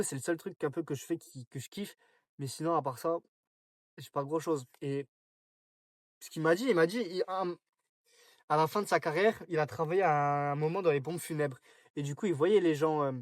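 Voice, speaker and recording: A man's voice: fairly high pitch, dry recording, clean studio sound. A nineteen-year-old man from France talking casually to camera in a rambling, slangy monologue full of fillers and restarts. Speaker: vlogger.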